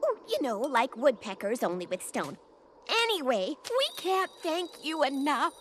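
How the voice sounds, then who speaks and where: A woman's voice: high voice, big pitch swings, unhurried, a little hiss or hum. A woman playing a grateful, doting mother bird in an exaggerated kids' cartoon. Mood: grateful, chatty. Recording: cartoon music behind the voice.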